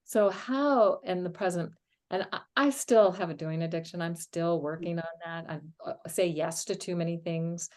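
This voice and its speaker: A woman's voice: lowish voice, moderate pace. A woman hosting a conversation on astrology, talking casually with frequent small laughs and uh fillers. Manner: warm and laughing, with many uh fillers. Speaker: astrology podcast host.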